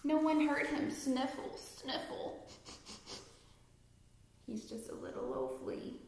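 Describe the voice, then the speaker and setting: Slow, deliberate woman reading a storybook. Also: sits high, very animated, darkish tone. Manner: deliberate, emphasizes rhymes, asks young listeners questions. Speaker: read-aloud storyteller. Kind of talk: expressive children's picture-book read-aloud. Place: indoor room at home with fireplace mantel. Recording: echoey room.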